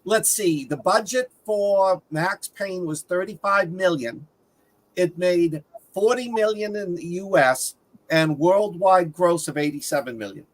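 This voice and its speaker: A man with a fairly high voice speaks as a co-host in a relaxed, conversational film discussion. He lays out facts and figures in everyday language. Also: a little slow, poor audio. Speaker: movie podcast co-host.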